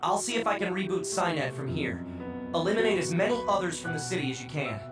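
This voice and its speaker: An actor playing a young male support operator in a video game cutscene, steady and urgent as he gives instructions. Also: high voice, quick pace, echoey room, distant mic. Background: music.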